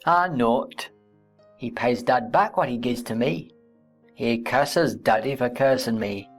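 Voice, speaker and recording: A surly little country boy voiced by a woman, pitched very low and drawled slowly. He sounds defiant and speaks dialect in a classic novel drama. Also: soft background music, heavily acted.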